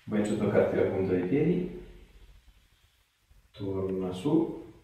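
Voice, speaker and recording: Low-voiced man in an echoey room. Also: animated, takes it slowly, warm tone. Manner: short, direct instructions with reassurance. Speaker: chiropractor. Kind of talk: hands-on exam instructions and casual explanation. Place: small indoor treatment room. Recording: echoey small treatment room.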